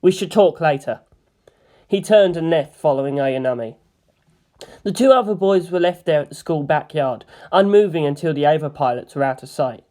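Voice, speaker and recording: A woman's voice: sits low, animated, moderate pace, dark tone. A woman narrating fan fiction as a dramatic audio story reading.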